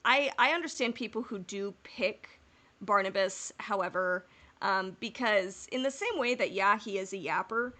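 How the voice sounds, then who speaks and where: Bright, animated woman podcast co-host. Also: mid-range voice. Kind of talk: casual fan discussion.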